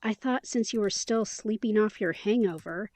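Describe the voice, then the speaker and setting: A woman's voice: mid-range voice, moderate pace, a little mellow, natural voice. A woman playing a chatty, upbeat young woman in an immersive audio drama, talking in a casual, playful, slangy way.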